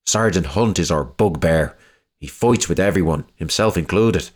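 An actor playing a weary junior serviceman, reading from a wartime diary in a measured, matter-of-fact way. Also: sits low.

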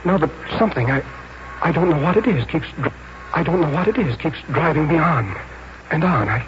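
A man acts out a troubled artist in vintage radio drama. His anguished speech stumbles and repeats, with big swings of pitch. The old recording is muffled and heavy with noise. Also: animated, lightly acted. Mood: dazed, distressed. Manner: halting, repetitive, anguished delivery. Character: troubled, confused artist driven by compulsion. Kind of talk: 1940s radio drama acting.

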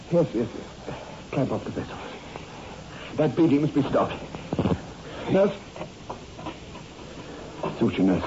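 An actor playing a tormented, highly strung surgeon in a 1960s radio horror drama, dramatic and moving between hushed and agitated speech. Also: male voice. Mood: subdued, resolute. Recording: muffled radio recording with heavy noise.

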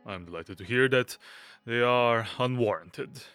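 A man playing a fussy, nervous, hypochondriac young gentleman in an audio drama, stammering and obsequious. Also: mid-range voice, moderate pace, clear, resonant tone, no room sound, faint music behind, close to an everyday voice. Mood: anxious. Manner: stammering, fidgety, obsequious, nervous little laughs.

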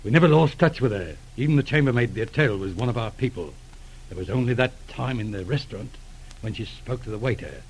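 An earnest male police officer with a rough-edged, mid-pitched voice. He is dutiful and matter-of-fact, reporting briskly in 1940s radio drama style. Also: animated, rough recording. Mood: concerned.

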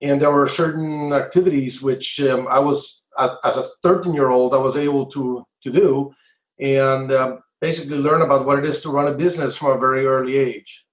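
A middle-aged man from Colombia giving measured, halting answers in an interview, pausing often as he explains. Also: medium pitch, steady tone. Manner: halting, measured, frequent hesitations. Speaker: interview guest, entrepreneur. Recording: echoey, roomy sound.

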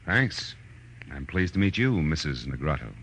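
A man's voice: very deep voice, very animated, moderate pace, fairly bright tone, a little hiss or hum, full character voice. An American actor playing a tough, wry private detective who narrates his case in a 1940s radio drama. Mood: probing. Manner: hard-boiled, wry, assertive. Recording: old radio recording with music.

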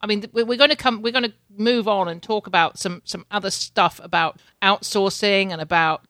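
Chatty woman interviewer, dry close mic, mid-pitched voice. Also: steady tone, fairly quick, a bit bright, no echo. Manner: chatty, laughs often, repeats words. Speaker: podcast host. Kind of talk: casual interview chat. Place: home in Canada.

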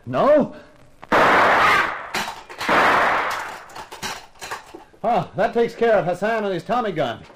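Wisecracking radio private eye, lively pitch. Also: male voice, moderate pace, very noisy. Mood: taunting. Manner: wry, wisecracking. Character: wisecracking tough private eye, brave and flirtatious. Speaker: lead actor and narrator. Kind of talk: 1950s radio crime drama acting. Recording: 1950s radio drama with faint music.

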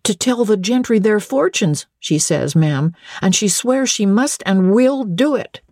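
Female reader as young footman, storybook servant: deferential, flustered, plain rustic phrasing, lifted pitch, lively swings. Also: unhurried, no echo, studio quality. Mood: flustered, insistent. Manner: earnest, servile, rustic turns of phrase.

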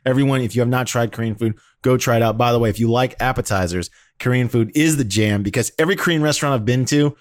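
An upbeat man hosts an interview podcast in a relaxed, chatty way, laughing easily between questions. His voice sits mid-range, recorded dry and close to the mic. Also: medium pitch, quick pace, few pauses, no room sound. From Washington D.C. area. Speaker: podcast host. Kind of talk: casual interview chat.

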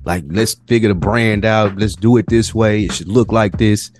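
A man hosting a podcast, telling stories in casual, animated talk full of slang and swearing. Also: lowish voice, normal speed, faint music behind. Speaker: podcast host.

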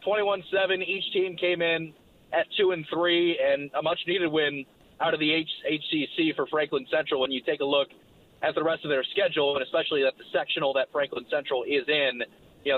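Slightly hoarse man on a tinny phone line. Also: on the high side. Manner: brisk, informative recap. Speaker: play-by-play broadcaster. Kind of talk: phone-in game recap.

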